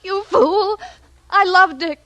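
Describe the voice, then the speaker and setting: An actress playing an impassioned, scheming femme fatale in 1940s radio style, emotional and melodramatic. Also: female voice, very high voice, takes it slowly, sharp tone, lo-fi sound, slight background noise, heavily acted. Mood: anguished, defiant.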